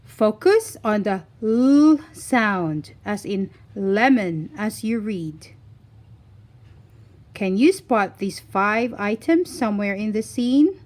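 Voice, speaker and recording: A woman reading a scripted phonics lesson for young children, deliberately enunciating single words in an instructional way. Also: mid-range voice, lively intonation, darkish tone. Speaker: children's phonics teacher and reader.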